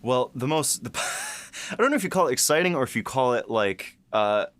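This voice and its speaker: A man co-hosting a podcast in casual banter, halting and playful as he talks. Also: medium pitch, animated, fairly bright tone, no room sound. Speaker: podcast co-host, series writer.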